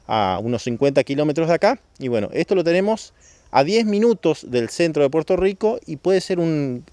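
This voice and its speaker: A man's voice: on the high side, dark tone, clear, resonant tone, dry recording. A man from Misiones with a northeastern Argentine accent, speaking as a government official in an explanatory, didactic interview style, with small questioning checks. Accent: northeastern Argentine Spanish.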